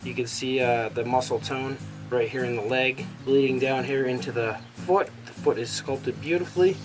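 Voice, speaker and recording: A man hosting a toy review, his voice a little raspy from a cold, talking enthusiastically with frequent "uh" fillers. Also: mid-range voice, fairly even intonation, moderate pace. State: sick with a cold, slightly raspy. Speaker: toy reviewer, channel host. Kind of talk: casual product review.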